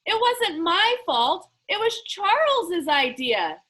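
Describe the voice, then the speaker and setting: A woman's voice: extremely high pitch, big pitch swings, slow pace, sharp tone, pitched above the performer's own voice, full character voice. A woman voicing a whiny, defensive boy caught cheating, reading a children's book aloud. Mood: whining. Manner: whining, sputtering.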